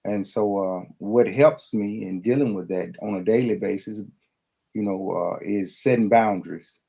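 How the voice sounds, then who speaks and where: A man speaking reflectively in a podcast conversation, halting and earnest, with frequent pauses. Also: lowish voice, creaky, warm tone, lo-fi sound. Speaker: podcast guest.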